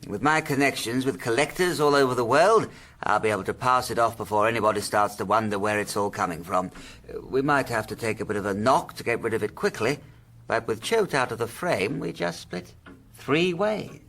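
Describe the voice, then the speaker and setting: A man's voice: medium pitch, fairly quick, fairly bright tone, a little hiss or hum, lightly acted. A man playing a shifty, defensive crook who fences stolen goods in a period detective radio drama. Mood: smug, businesslike. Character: shifty, defensive male fence and conspirator, boastful.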